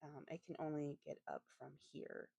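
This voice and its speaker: A woman with a slightly raspy voice giving a casual craft voiceover, rambling hesitantly and often correcting herself as she goes. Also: low-pitched, level tone, moderate pace, dark tone, dry recording. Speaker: planner vlogger and host.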